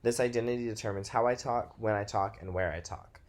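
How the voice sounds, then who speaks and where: A man's voice: lowish voice, brisk. A nineteen-year-old man from Texas with a Texas American accent, narrating a scripted presentation as a student. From Texas, United States.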